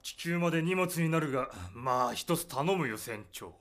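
A man's voice: fairly high pitch, lively intonation, normal speed, a bit bright, faint music behind. A man playing a stubborn, crafty old spaceship engineer in an audio drama, arguing theatrically. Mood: wily, wheedling. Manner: wheedling, argumentative, theatrical.

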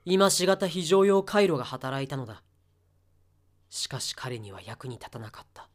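A man's voice: mid-range voice, lively intonation, moderate pace. A man reading scripted story narration for an audio drama.

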